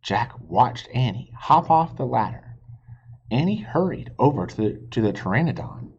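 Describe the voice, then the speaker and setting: A man reading a children's book aloud as a teacher, expressive and pausing to ask listeners questions. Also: mid-range voice, husky. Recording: dull lo-fi recording.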